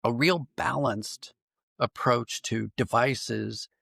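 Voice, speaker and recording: A middle-aged or older American man explaining ideas in a conversational interview, thoughtful and halting, often restarting his phrases. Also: mid-range voice, vocal fry, pushed and bright, no room sound, clean studio sound. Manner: thoughtful, halting with repeated false starts. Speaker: podcast guest, writer and dramatist.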